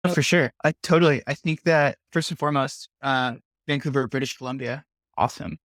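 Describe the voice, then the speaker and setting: A man's voice: medium pitch, fairly quick, fairly bright tone, dry recording, studio quality. Rambling young man, lots of "like". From Colombia. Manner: rambling, heavy use of "like". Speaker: guest, industrial designer. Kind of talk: casual video chat.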